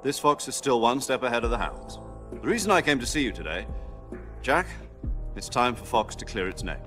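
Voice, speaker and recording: An actor playing a wry, scheming commanding officer in a video game cutscene, with a measured, sardonic way of briefing. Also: male voice, medium pitch, animated, fairly bright tone, soft background music, close to an everyday voice. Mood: wry, confiding.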